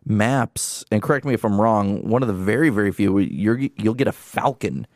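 A man co-hosting a casual gaming podcast, chatty and enthusiastic, with quick asides. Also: lowish voice, expressive, a little mellow, no echo, studio quality. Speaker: podcast co-host.

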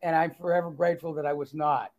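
A man's voice: on the high side, steady tone, fast talker, a little mellow. A man with a Boston accent, an author guest reading his own story aloud and chatting with good humor. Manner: good-humored, self-deprecating, with fillers. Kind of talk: reading aloud and casual interview chat.

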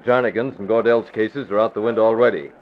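An actor playing a composed, authoritative newspaper publisher with a wry, assured manner in an old radio drama. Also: male voice, lowish voice, fast talker, close to an everyday voice. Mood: wry satisfaction. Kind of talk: 1940s radio acting. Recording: tinny narrow-band old radio recording.

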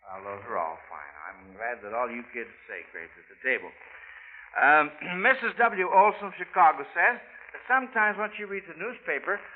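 An American man hosting a 1940s radio quiz, talking in a folksy schoolmaster manner to children. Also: a little high. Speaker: quiz show host. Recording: tinny, band-limited old radio recording.

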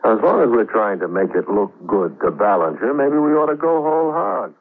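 An actor playing a weary, calculating husband in an old-time radio drama. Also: male voice, on the low side, sing-song, fairly quick. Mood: wry. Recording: muffled, narrow-band vintage radio recording.

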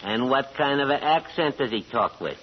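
A man's voice: medium pitch, animated, normal speed, lightly acted. A man acting as a wary, gullible gangland doorkeeper in a vintage radio drama, with slangy streetwise talk. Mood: suspicious. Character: wary, slow-witted gangland doorkeeper, tough but gullible. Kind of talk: 1940s radio gangster acting. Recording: muffled, crackly old radio broadcast.

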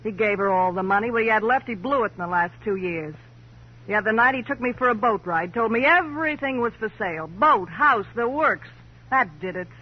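A muffled vintage radio recording of a hard-boiled American female swindler. Her voice sits high, and she talks in slangy, sardonic jabs, brazen and sullen even when cornered. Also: fairly quick, slightly rough. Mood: resigned. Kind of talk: 1950s radio crime drama acting. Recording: muffled old radio broadcast.

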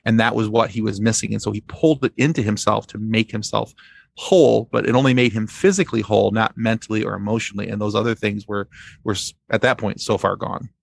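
A man speaking as a podcast guest in casual fan discussion, haltingly repeating phrases as he explains. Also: on the low side, gravelly, no echo.

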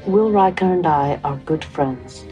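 An actress playing a composed woman counselor who questions gently and earnestly, in a played clip. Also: low voice, lively intonation, measured pace, rough recording. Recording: played clip with faint music.

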